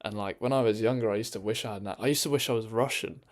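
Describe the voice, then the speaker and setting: A man's voice: fairly low pitch, fast. A man chatting casually on a live stream from his bedroom, rambling with lots of ums and restarts.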